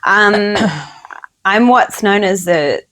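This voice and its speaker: Gen X Australian woman, podcast guest, upbeat, laughs easily, middle pitch. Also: extremely slow, fairly bright tone, poor audio. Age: 40s to 50s. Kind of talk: casual conversation.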